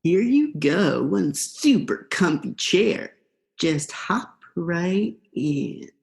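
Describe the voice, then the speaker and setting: A woman's voice: fairly low pitch, very animated, extremely slow, gravelly, darkish tone, exaggerated voice. A young woman in a student radio play, playing a laid-back, vengeful male eel with a casual, slangy drawl. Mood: coaxing. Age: young adult.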